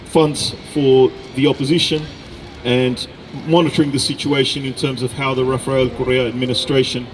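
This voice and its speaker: A middle-aged man from Chile delivering a deliberate, emphatic political speech at an outdoor rally. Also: medium pitch, flat delivery, rough recording. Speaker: speaker at a rally, researcher. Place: outdoor public gathering in a city. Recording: outdoor rally with background noise.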